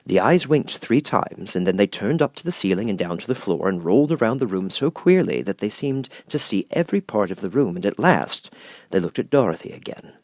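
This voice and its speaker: A man's voice: on the low side, very animated, a little fast, a little mellow, dry recording, studio quality. A man reading a scripted children's storybook as an audiobook narrator.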